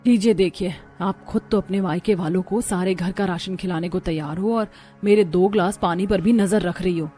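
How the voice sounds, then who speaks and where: A woman's voice: fairly low pitch, fairly even intonation, moderate pace, mellow, slightly rough. Woman doing a meek young bahu from a poor family, cartoon story, music under it. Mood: hurt, protesting.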